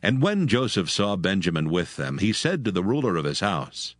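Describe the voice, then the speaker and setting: Low solemn guy narrating scripture, dry close sound, music behind. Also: sing-song, no echo. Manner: measured, solemn reading.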